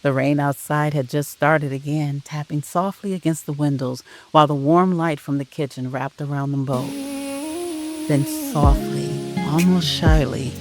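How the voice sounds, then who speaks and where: A woman narrating a scripted audio drama as a storyteller, in a soft and measured manner. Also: low-pitched, steady tone, soft background music, rough recording.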